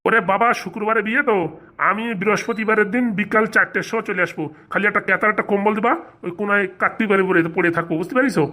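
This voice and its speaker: A woman's voice: medium pitch, steady tone, very fast, bright, forceful tone, close to an everyday voice. A woman voicing a greedy, eager male village priest in a comic cartoon, wheedling in a rural Bengali accent.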